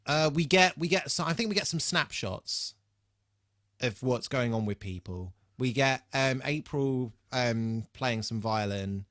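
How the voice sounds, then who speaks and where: Male podcast co-host, mid-pitched and bright, dry close sound. Casual, wry, sweary, unscripted banter. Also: lively intonation, a little slow, dry recording. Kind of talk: casual unscripted TV re-watch chat.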